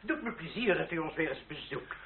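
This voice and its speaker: A man's voice: fairly high pitch, expressive, fairly quick, vocal fry. An actor playing a jovial, fussy old aristocratic uncle in a period radio play, hearty and repeating his words. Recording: tinny narrowband old radio drama, faint noise.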